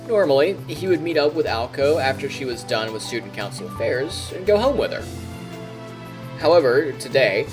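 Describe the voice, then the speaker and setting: A man streaming, reading narration from a visual novel aloud and adding casual comments. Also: medium pitch. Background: game music.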